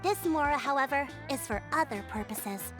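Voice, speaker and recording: A woman's voice: sits high, animated, moderate pace, over music. A woman playing a gracious, composed chief in a video game, speaking in a polite, articulate, assured way. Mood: cheerful, assured. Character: gracious, composed female chief giving a mission.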